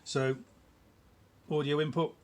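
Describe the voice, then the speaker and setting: A middle-aged man giving a casual, informal product demonstration in a small indoor workshop, explaining haltingly and repeating words for emphasis. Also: fairly high pitch, little pitch movement, a little mellow. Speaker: electronics builder presenting their own product. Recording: clear background noise in a room.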